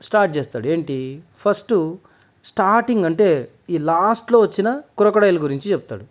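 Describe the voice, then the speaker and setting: A man's voice: high voice, animated, a little fast. A man telling a children's moral story informally, explaining as he goes and mixing in English words. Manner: conversational, explanatory, mixes in many English words.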